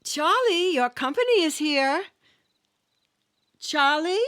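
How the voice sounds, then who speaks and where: A doting, anxious older mother in a radio drama. Her voice is very high and swings widely in pitch. She speaks plaintively. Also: expressive, slow, a bit bright, a little hiss or hum, full character voice. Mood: anxious, distressed. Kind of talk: old-time radio drama acting.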